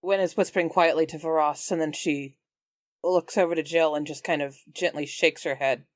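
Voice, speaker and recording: A woman's voice: sits low, steady tone, moderate pace, dry recording, studio quality. A woman casually narrating her character's moves as a tabletop game player. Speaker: player on a roleplaying podcast.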